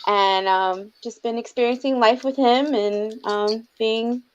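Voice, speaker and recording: A woman's voice: fairly high pitch, steady tone, a little slow, slight background noise. Easygoing woman on muffled call audio. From DC area, USA. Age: 30s. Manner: easygoing, soft-spoken, trails off with ums. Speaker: podcast guest. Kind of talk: casual podcast chat. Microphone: remote call mic.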